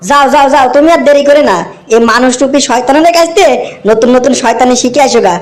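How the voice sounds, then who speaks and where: A woman voicing an eager, admiring junior devil in a comic cartoon, chatty and excitable. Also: sits high, fast talker, close to an everyday voice. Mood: curious, eager. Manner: chatty, repeating words excitedly.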